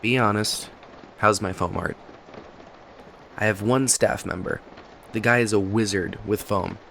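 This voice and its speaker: A man's voice: lowish voice, measured pace, creaky. A man acting a talkative, kindly cafe owner in an ASMR roleplay, chatting to the listener in a friendly, hesitant, apologetic ramble. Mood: chatty, upbeat. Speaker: voice actor in an audio roleplay. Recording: steady rain noise behind voice. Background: rain and cafe ambience.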